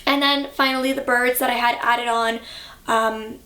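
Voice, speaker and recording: A woman's voice: on the high side, fairly even intonation, normal speed, bright, forceful tone, roomy sound. A young woman from Canada talking casually and reflectively to her viewers, with frequent ums and likes. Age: young adult. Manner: chatty, reflective, many fillers. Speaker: vlogger. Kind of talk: casual vlog talk.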